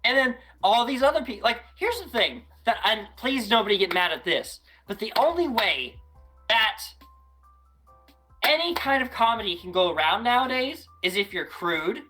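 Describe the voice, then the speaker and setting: A woman talking as a guest on a casual podcast, chatty and animated, often restarting her sentences. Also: a little high, normal speed, soft background music.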